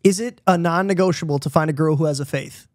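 A man's voice: fairly high pitch, fast, no echo, studio quality. A young adult man hosting a podcast, chatting casually with easygoing banter and frequent laughs. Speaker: podcast host. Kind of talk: casual chat. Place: small cozy studio room, indoors. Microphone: studio podcast mic on boom arm.

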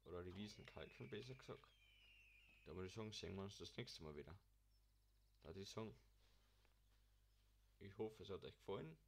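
A man's voice: low-pitched, lively intonation, brisk, vocal fry, long pauses, slight background noise. A young man, casually commenting on a farming game while mumbling to himself, and he has a cold. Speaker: gaming channel host.

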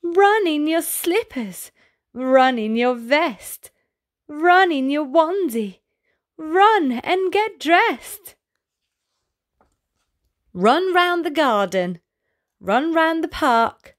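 A woman with a high voice reads a picture book for little children. Her pitch swoops up and down in an exaggerated, sing-song way. She takes her time, at a slow storytelling pace. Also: expressive. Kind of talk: expressive read-aloud of a picture book.

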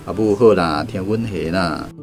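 An actor playing a dutiful, patient grown son in a radio drama, coaxing and explaining with a Taiwanese accent. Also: male voice, low-pitched, moderate pace, soft background music, close to an everyday voice. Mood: coaxing, concerned.

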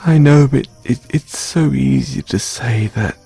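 Very breathy man, slow pace, tender soothing boyfriend roleplay, hushed delivery, intimate. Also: medium pitch, dry recording, faint music behind. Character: gentle young boyfriend consoling his grieving partner. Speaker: audio roleplay performer. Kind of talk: intimate comfort audio roleplay.

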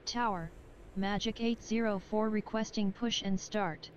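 A woman's voice: medium pitch, steady tone, measured pace. A woman voicing an airline pilot in a simulator game, giving terse, formulaic readbacks through a radio filter. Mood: flat, procedural. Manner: formulaic, impersonal. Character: female airline pilot radioing the control tower. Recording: quiet, noisy, muffled aircraft radio channel.

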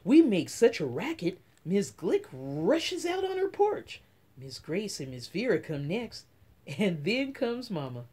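A middle-aged woman reading a children's picture book aloud as story time host, with dramatic, drawn-out emphasis. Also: medium pitch, sing-song, mellow, husky. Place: small room at home.

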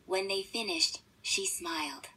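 A woman reading a children's storybook aloud as the storyteller, in an expressive scripted delivery. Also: medium pitch, measured pace, rough recording. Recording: music behind the voice.

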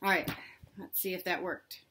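A woman's voice: fairly low pitch, fairly even intonation, normal speed, pushed and bright, slight background noise. A young woman reading a picture book aloud to young children, expressively, with simple questions for the listeners. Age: young adult. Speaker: children's read-aloud host, teacher. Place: living room at home, indoors.

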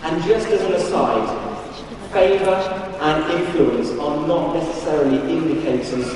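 A man with an English accent preaches in a fairly high voice, conversational but emphatic, often repeating his phrases. He is heard from a distance in a very echoey hall, with noise around him. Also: measured pace, a crowd in the background. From Liverpool, England. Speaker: preacher and Bible college teacher. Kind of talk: sermon to a congregation. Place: church stage in a large room.

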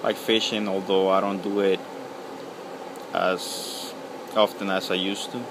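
Slow, halting man in his thirties from El Salvador. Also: low-pitched, little pitch movement, background noise. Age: 30s. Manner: halting, full of ums and uhs. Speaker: pipe-smoking video blogger. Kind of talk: casual video reply. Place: outdoors beside a house. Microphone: webcam at close range.

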